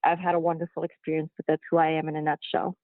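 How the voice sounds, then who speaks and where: A woman from Guyana answering interview questions slowly and deliberately, heard over a tinny phone-like line. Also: sits low, completely flat delivery, dry recording. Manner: slow, measured and deliberate. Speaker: guest physician-scientist.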